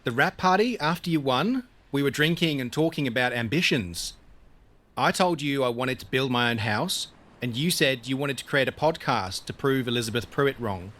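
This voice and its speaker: An actor playing an evasive, world-weary TV industry colleague in a naturalistic audio drama, casually placating and dismissive. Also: male voice, medium pitch, lively intonation, normal speed, a bit bright, natural voice.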